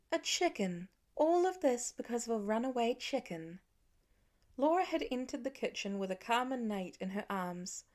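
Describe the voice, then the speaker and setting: A woman's voice: on the high side, darkish tone. A woman reading scripted fiction as an audiobook narrator.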